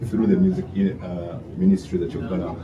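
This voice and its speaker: A man interviewing a guest, encouraging and halting, with many fillers. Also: low-pitched, completely flat delivery, dull audio, a crowd in the background. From Uganda. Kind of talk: interview conversation. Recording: distant, echoey, noisy room.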